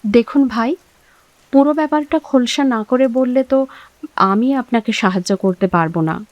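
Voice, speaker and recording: A woman's voice: on the high side, expressive, a little fast, no room sound, highs cut off, close to an everyday voice. An actress playing a cautious but sympathetic woman resident, speaking politely and asking probing questions in an audio drama.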